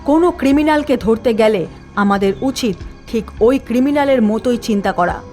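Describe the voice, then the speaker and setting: A woman voicing a cautious, shrewd ghost squad leader who advises in a measured way. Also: fairly high pitch, a little mellow, faint music behind, close to an everyday voice.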